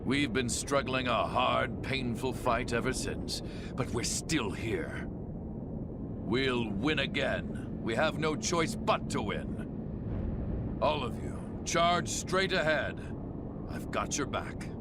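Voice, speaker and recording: Game cutscene: grave, resolute military leader rallying fighters, very unhurried speech, heavy noise behind. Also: male voice, mid-range voice, expressive. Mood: solemn resolve. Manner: grave, deliberate, commanding. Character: resolute, solemn male commander rallying his fighters. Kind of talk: video game cutscene acting.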